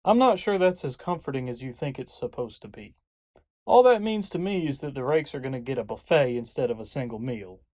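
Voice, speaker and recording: A man's voice: medium pitch, lively intonation, a little fast, a little mellow, no echo, natural voice, low-quality recording. A man playing a skeptical, wisecracking younger man reluctantly dragged into danger in an audio drama, wary and sardonic.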